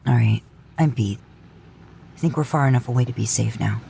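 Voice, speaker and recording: A woman voicing a stubborn young male hunter in a lowered, gruff voice. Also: fairly quick, warm tone, a little hiss or hum, heavily acted. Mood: weary.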